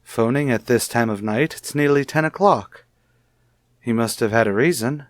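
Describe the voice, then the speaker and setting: Guy doing old radio soap husband, formal and pointedly polite, kinda suspicious, mid pitch, no room echo. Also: normal speed, clean studio sound, natural voice. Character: suspicious, coolly courteous husband, probing his wife. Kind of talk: old-time radio soap opera acting.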